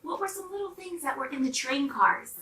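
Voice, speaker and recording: A woman reading a storybook aloud to young children in an animated, expressive way. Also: high-pitched, a little fast. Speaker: storybook reader. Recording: roomy, slightly distant microphone.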